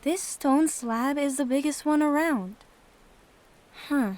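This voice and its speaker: An actress playing a practical, quick-witted young girl adventurer in an audio drama, matter-of-fact and teasing. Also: sits high, darkish tone. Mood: focused.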